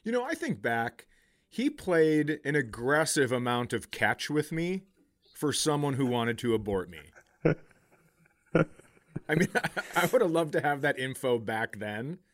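A man's voice: medium pitch, big pitch swings, unhurried, a bit bright. A middle-aged man, a comedian co-hosting a podcast, telling stories in casual, profane, joking banter.

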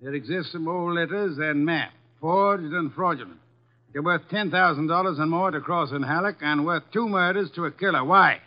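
An actor playing a fat, shrewd, commanding middle-aged detective with formal, precise diction in a 1950s radio drama. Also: male voice, a little high, normal speed, close to an everyday voice. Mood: imperious, measured. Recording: dull, lo-fi vintage radio recording.

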